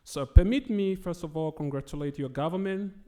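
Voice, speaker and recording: A man's voice: on the high side, brisk, dark tone. A young adult man, a student, asking a formally worded question from the audience at a public forum.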